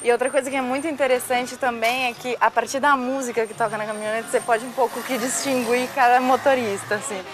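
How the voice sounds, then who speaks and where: A woman's voice: fairly high pitch, fairly even intonation, fast, few pauses, noisy background. A young Brazilian woman with a Brazilian Portuguese accent, hosting a casual city tour to camera in a chatty way, often saying "assim". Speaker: travel guide host. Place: busy food shop and noisy club. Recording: loud music and crowd behind.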